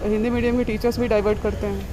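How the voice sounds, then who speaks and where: A woman's voice: mid-range voice, flat delivery, very fast, warm tone, poor audio. A woman, a civil services aspirant, giving brief answers in a street interview and mixing English words into her talk. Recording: busy crowd noise outdoors.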